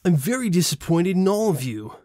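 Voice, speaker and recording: A man's voice: high-pitched, big pitch swings, brisk, darkish tone, a little hiss or hum. A man voicing earnest, prim cartoon pony characters in a fan fiction story reading. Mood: prim reproach. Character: earnest cartoon ponies, little fillies and prim royals.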